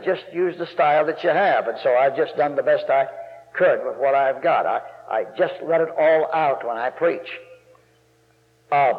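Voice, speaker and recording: Gravelly male preacher, folksy and rambling, pushing to loud emphasis; muffled church recording with long echo. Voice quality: gravelly bass voice. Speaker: guest preacher. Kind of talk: sermon. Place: church sanctuary.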